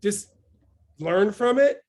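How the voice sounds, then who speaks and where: High-voiced energetic man giving a pep talk. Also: slow pace. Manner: energetic, keeps asking "right?". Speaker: host of a morning motivational session. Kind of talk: motivational talk.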